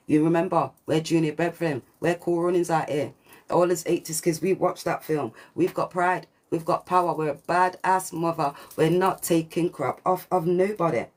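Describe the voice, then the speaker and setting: A woman with a deep voice giving a channelled spiritual reading, preachy and repetitive, with swearing and slang. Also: darkish tone. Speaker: spiritual reader. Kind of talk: channelled collective reading monologue.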